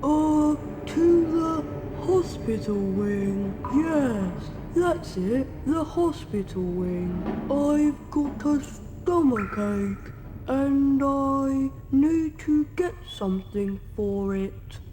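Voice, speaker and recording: Woman doing a nervous boy, dragging really slow, hesitant, video game, music behind. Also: high-pitched, lively intonation, big-room sound, full character voice. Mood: nervous, bluffing. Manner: hesitant, halting. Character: nervous schoolboy bluffing as dim bully. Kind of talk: video game cutscene acting.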